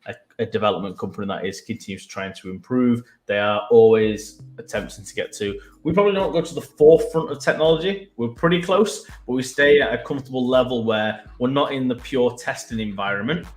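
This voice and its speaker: A man with a Mancunian accent, co-hosting a casual business podcast and explaining web development in a conversational, halting way. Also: mid-range voice, roomy sound. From Manchester.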